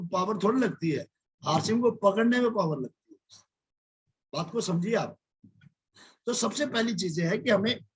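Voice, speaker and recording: High-pitched husky motivational speaker. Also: male voice, fairly bright tone, poor audio. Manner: preachy, repetitive, asks rhetorical questions. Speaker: business mentor leading a team meeting. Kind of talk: motivational talk.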